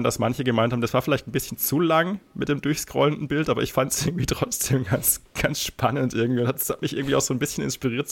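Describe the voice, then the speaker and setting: A male podcast co-host with an average-pitched voice and a clear gravelly fry. He chats informally, looping back to repeat and rephrase his words as he goes. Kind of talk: casual podcast conversation.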